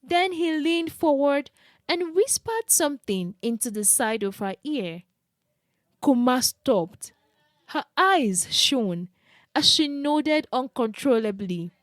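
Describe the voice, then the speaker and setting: Woman telling a folktale, really slow and expressive, super dry close sound. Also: high-pitched, no room sound. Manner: expressive, deliberate storytelling delivery. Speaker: storyteller, narrator. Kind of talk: scripted folktale narration.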